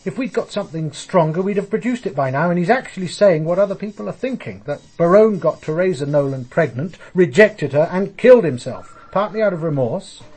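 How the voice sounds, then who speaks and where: An actor playing a frustrated police detective in a radio drama. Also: male voice, high-pitched, lively intonation, a little fast, few pauses, darkish tone, slightly rough, poor audio. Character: frustrated male police detective debating a case.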